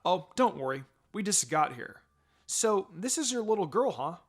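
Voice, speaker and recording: A sociable, admiring lady in a storybook reading acted out by a man. Her voice is raised in pitch, with lively, friendly swings. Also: moderate pace, full character voice.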